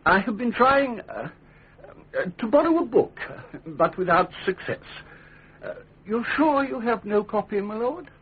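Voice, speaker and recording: An actor playing a scheming foreign ambassador in a radio drama, formal, flattering and elaborately courteous. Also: male voice, high-pitched, measured pace, husky, full character voice. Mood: flustered politeness. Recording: thin, phone-like lo-fi radio recording.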